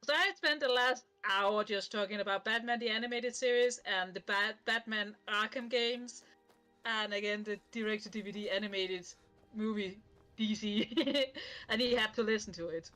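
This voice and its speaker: A woman's voice: medium pitch, normal speed, sharp tone, faint music behind. A woman from Denmark with a Danish accent, chatting enthusiastically as a stream guest. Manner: enthusiastic and chatty, swears casually. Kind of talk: casual chat.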